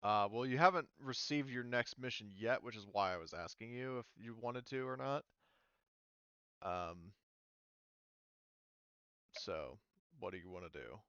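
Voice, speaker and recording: A man with a low, smooth and resonant voice runs a tabletop game session, explaining options with frequent ums and self-corrections. The sound is dry and a bit dull, like lo-fi voice chat. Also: animated, a little slow, pauses often, a bit bright, dry recording. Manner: hesitant, many ums and restarts. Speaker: game master.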